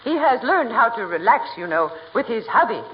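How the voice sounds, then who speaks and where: A grand society lady, haughty and condescending in old radio comedy style. Her high voice swoops theatrically through genteel phrases. The recording is dull and dated. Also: very animated, moderate pace. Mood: smugly genteel. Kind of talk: 1940s radio comedy acting. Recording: muffled 1940s radio recording.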